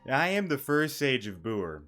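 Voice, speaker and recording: A man's voice: medium pitch, big pitch swings, a little slow, fairly bright tone. A man giving casual, half-joking live commentary over a video game, informal and prone to swearing. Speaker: gaming streamer. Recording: game music behind the voice.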